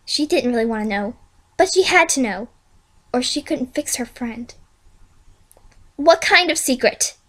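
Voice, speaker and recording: A woman's voice: fairly high pitch, lively intonation, normal speed, fairly bright tone. A female amateur reader narrating a fan-made story in storybook style.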